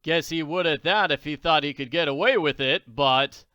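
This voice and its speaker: Bluff middle-aged tycoon, read by a man; hearty and teasing, bright clear voice. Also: a little high, moderate pace, no room sound, dull audio. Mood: reassuring.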